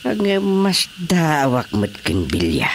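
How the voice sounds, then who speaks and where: An actor with an Ilocano accent playing an exasperated, excitable village chairman in a radio comedy drama. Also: on the high side, slow, a little hiss or hum, heavily acted. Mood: gossiping.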